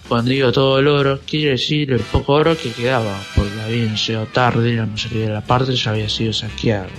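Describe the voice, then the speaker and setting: Sarcastic young Argentine male narrator over music. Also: mid-range voice. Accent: Buenos Aires Argentine. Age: teens. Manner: sarcastic, mock-dramatic. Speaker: radio play narrator. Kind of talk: scripted comic narration.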